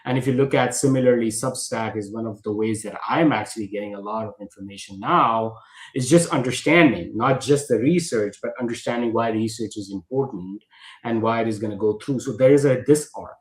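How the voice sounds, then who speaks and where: A man's voice: medium pitch, a little fast. Emphatic man from Pakistan in echoey room. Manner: animated, restarts phrases, emphatic. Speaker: podcast host. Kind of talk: conversational podcast discussion.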